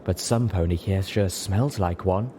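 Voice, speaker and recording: A man's voice: low-pitched, measured pace, dark tone, slight background noise. Man putting on a drawling, ladylike sarcastic unicorn. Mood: mock reassurance. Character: ladylike, cutting female unicorn medic, haughty. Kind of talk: audiobook character voice.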